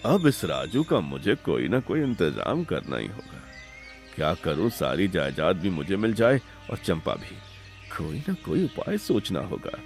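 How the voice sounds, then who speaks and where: Man acting a domineering older brother, animated delivery. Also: deep voice, unhurried, creaky, faint music behind, full character voice. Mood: scheming. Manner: stern, commanding. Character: domineering, scheming older brother turned cruel master. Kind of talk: Hindi animated moral story acting.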